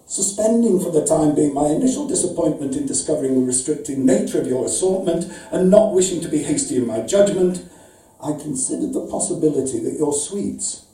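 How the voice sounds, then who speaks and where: A man's voice: on the high side, warm tone, natural voice. A man voicing a pedantic, pompous, aggrieved customer reading out a formal, wordy letter of complaint as a live comic story. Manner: formal, wordy, precise, mock-serious. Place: indoor arts venue reading, before an audience. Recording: roomy, distant live event recording.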